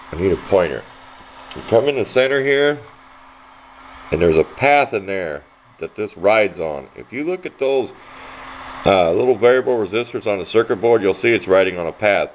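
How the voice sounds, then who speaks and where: A man giving an informal hands-on electronics tutorial in a folksy, rambling way. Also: lowish voice. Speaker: hobbyist demonstrating electronics. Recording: dull lo-fi sound, faint background noise.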